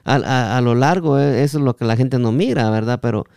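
Smooth-voiced Guatemalan man hosting a podcast. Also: mid-range voice, a little mellow, no room sound, studio quality. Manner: halting, repeats words, seeks agreement with tag questions. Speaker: podcast host. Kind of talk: casual podcast interview.

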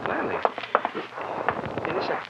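A man's voice: measured pace, lightly acted. A man playing a mild, obliging police doctor in a 1950s radio crime drama, affable and a little hesitant. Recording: very noisy, muffled old radio recording.

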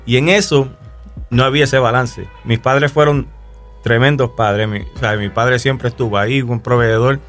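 A man with a Puerto Rican accent gives his testimony on a radio show, speaking in an easy, halting way full of fillers and restarts. Faint music plays softly beneath him. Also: mid-range voice. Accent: Puerto Rican Spanish. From Puerto Rico. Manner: relaxed, hesitant, many fillers and restarts. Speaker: guest musician.

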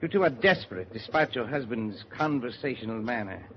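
An actor playing a suave Nazi spy in a 1940s radio drama, formally courteous while coolly threatening. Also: male voice, medium pitch, animated, fairly quick, close to an everyday voice. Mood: cool menace. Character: suave, menacing male Nazi spy holding hostages. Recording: muffled, noisy 1949 radio broadcast.

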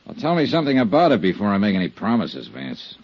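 An actor playing a cool, sardonic insurance investigator in 1950s radio drama, with a clipped, dry, hard-boiled manner. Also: male voice, lowish voice, wildly swooping pitch, quick pace, husky, dry recording, exaggerated voice. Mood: cool, wary. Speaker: lead actor and narrator. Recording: muffled 1950s radio recording with music.

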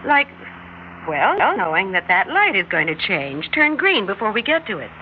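An actress with an American accent playing a calm suburban housewife and mother who has hunches, in a radio drama. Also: medium pitch, normal speed, a little hiss or hum, lightly acted. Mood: composed. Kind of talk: radio drama narration and dialogue. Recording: muffled radio recording with prominent music.

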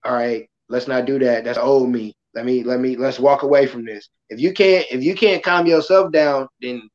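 A passionate, loud-voiced man hosting a casual podcast talk, acting out quotes as he goes. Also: mid-range voice, moderate pace, highs cut off. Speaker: podcast host; kindergarten school worker.

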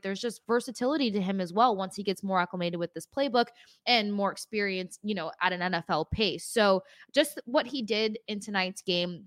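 A woman's voice: medium pitch, a bit bright, dry recording. Woman podcaster talking sports, very dry sound. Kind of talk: casual sports analysis and reaction.

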